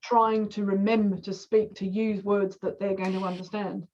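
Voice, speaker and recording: A woman's voice: medium pitch, little pitch movement, normal speed, a little mellow, lo-fi sound. A woman from Australia with an Australian accent, chatting casually and murmuring agreement often as she listens. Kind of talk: casual conversation.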